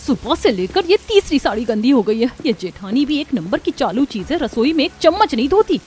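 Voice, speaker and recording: A woman's voice: sits high, sing-song, brisk, a little mellow, a little hiss or hum. A woman voicing a harried, resentful young daughter-in-law in a family cartoon, with an exasperated, complaining manner. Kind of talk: Hindi family cartoon dubbing.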